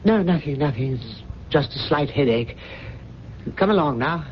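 An actor playing an ailing, devoted older butler in a radio drama, formal, deferential and hesitant. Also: male voice, fairly high pitch, expressive, moderate pace, lightly acted. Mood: tender, sorrowful. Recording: dull, noisy 1970s radio broadcast.

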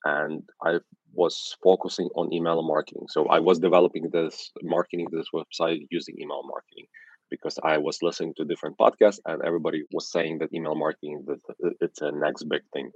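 A man's voice: rumbling low, husky, dry recording. A man from Ukraine with a Ukrainian accent, a guest speaking in a casual podcast interview, often restarting and repeating words. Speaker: guest, agency founder. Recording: dull, dry sound.

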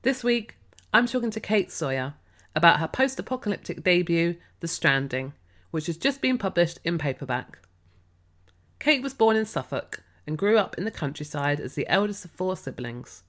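Croaky-voiced woman hosting a podcast. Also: lowish voice, very animated, moderate pace, a bit bright. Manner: friendly, scripted introductions and interview questions. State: croaky, recovering from a cold. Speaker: podcast host. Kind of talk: author interview podcast.

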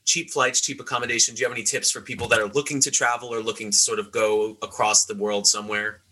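Bright-voiced young American man. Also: on the low side, level tone, fast talker. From New York, USA. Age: young adult. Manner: chatty, many fillers and restarts. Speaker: podcast co-host. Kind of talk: casual chat.

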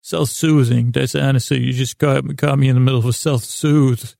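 A man hosting a podcast with a whimsical, meandering bedtime monologue, halting and soothing as he goes. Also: mid-range voice, steady tone, creaky, darkish tone, no room sound, studio quality. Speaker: podcast host.

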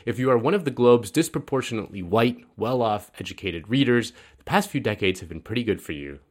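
A male host giving sarcastic political commentary, mocking and wry as he reads quotes. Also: lowish voice. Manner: sardonic, mocking.

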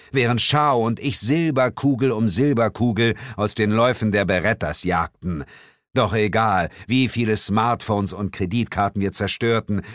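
A man narrating an audiobook in first person, measured and theatrical, dropping lower for dramatic moments. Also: no echo, clean studio sound. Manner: measured, theatrical, drops low for dramatic lines.

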